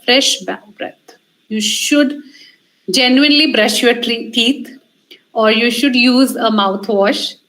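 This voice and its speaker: A woman with an Indian accent speaks in a fairly high voice as a communication trainer. She instructs emphatically, laying out advice in short directive phrases and repeating key points. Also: fairly bright tone, low-quality recording. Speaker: communication trainer giving a lecture. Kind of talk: instructional talk on interview skills.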